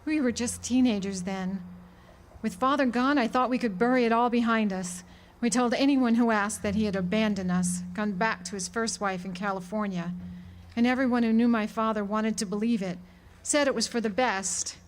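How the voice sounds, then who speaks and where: A woman's voice: fairly high pitch, moderate pace, natural voice. A woman playing a guilt-laden, defiant small-town woman in a radio drama, confessing with earnest, dramatic delivery. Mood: pleading confession. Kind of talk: radio drama acting, 1940s setting. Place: live amateur radio drama performance at an amphitheater.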